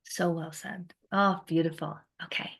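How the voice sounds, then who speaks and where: A woman coach questions her client on what sounds like a video call. Her voice sits a little low, and she speaks warmly, encouraging and praising between her questions. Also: fairly even intonation, measured pace, vocal fry, husky. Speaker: life coach. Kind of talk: interviewing a client.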